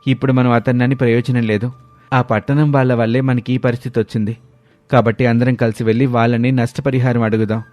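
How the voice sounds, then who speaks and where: Man acting clever village problem-solver, mid-pitched. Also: level tone, fast talker, a little mellow, dry recording, soft background music, close to an everyday voice. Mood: calm, resolute. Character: clever, level-headed young village man rallying neighbours. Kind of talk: cartoon moral story dialogue.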